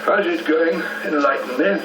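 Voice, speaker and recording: An actor in a radio drama playing an ailing, courteous English gentleman and father, middle-aged, with a hesitant, genteel English delivery. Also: on the high side, normal speed, lightly acted. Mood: frail, wry. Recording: roomy, noisy, distant radio broadcast copy.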